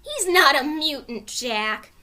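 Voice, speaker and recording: Casting: woman playing fearless young girl adventurer for a storybook, excitable and insistent, very high, swooping intonation. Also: slow, full character voice. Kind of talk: children's storybook character voice.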